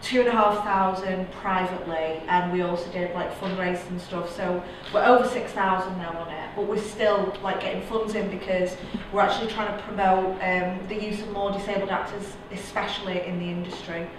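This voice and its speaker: A woman's voice: mid-range voice, steady tone, brisk, hardly any pauses. A woman talking informally as a film director on a panel. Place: indoor event room at a film festival panel. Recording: echoey room from far off, noisy.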